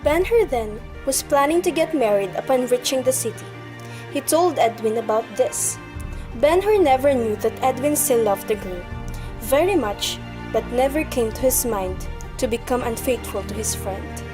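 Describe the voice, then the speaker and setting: A woman narrates a radio drama from a script. Her voice sits fairly high, and soft music plays faintly behind her. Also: lively intonation, moderate pace. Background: soundtrack music.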